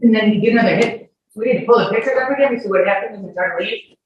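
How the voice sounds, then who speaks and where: A woman's voice: medium pitch, dull audio. Defensive woman testifying in an echoey courtroom. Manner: defensive, argumentative, interrupting, halting. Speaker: witness testifying. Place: courtroom hearing. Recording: echoey courtroom, distant, noisy microphone.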